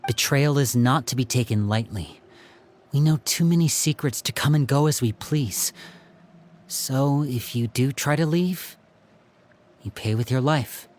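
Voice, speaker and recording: A performer playing a calm, earnest youth in a video game, measured and telling things like a story. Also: mid-range voice, a little hiss or hum, natural voice. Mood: sober.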